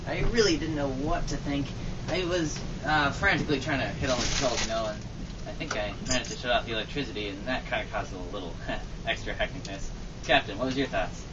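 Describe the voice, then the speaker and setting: A man's voice: medium pitch, fast talker, close to an everyday voice. A man playing a casual, boastful airship first mate in a comic radio drama, easygoing and a little hesitant. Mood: bemused. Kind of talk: comic old-time radio acting. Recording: muffled, distant, clearly noisy recording.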